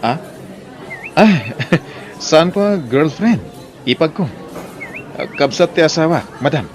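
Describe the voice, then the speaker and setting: A man's voice: on the high side, lively intonation, slow, a little mellow, faint music behind, a faint crowd. A man acting an obliging, flirtatious married brother-in-law in an audio drama, with an Ilocano Filipino accent. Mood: amused.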